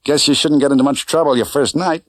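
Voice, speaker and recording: A man's voice: a little high, fairly even intonation, quick pace, no echo, clean studio sound, voice pushed up, close to an everyday voice. A man voicing a brash, chatty American taxi driver with slangy, familiar patter in an audiobook. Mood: advising, familiar. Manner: slangy, familiar, showy tour-guide patter.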